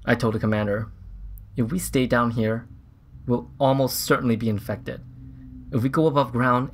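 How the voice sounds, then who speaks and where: An actor playing an earnest, shaken scientist on a Mars mission, recounting events in a halting, reflective way as in a documentary interview. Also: male voice, fairly low pitch, creaky, slightly rough, heavily acted. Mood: somber, reflective.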